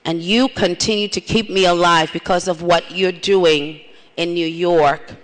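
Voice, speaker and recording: A woman's voice: fairly low pitch, steady tone, a little slow. A middle-aged woman from Trinidad giving an earnest, testimonial conference speech with repeated phrases. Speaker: conference speaker. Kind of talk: plenary speech. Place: large conference hall. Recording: reverberant hall.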